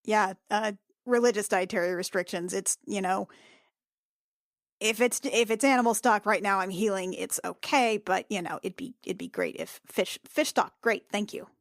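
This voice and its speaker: A woman's voice: mid-range voice, moderate pace, gravelly, dry recording, clean studio sound. A woman chatting casually as a player in a tabletop game, wry and given to swearing. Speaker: player in a tabletop role-playing podcast. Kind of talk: casual improvised game chat.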